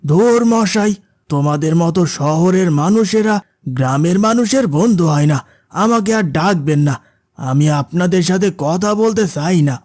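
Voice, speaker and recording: A man's voice: high-pitched, animated, normal speed, darkish tone, dry recording, studio quality. A man voicing a suspicious, curt villager in a cartoon, with an accusing manner. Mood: scornful. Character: suspicious, curt village man who dislikes city folk. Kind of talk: cartoon character dialogue.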